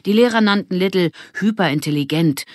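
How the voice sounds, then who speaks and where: A woman reading a children's audiobook as an expressive scripted narrator. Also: on the low side, a little fast, no echo, studio quality.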